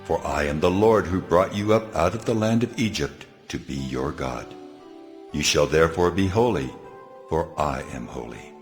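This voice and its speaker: Very deep, solemn voice of God. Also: male voice, animated, normal speed, faint music behind. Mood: solemn, commanding. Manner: solemn, authoritative, declarative. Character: God, the Lord, solemn commanding male deity. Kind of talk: dramatized scripture reading.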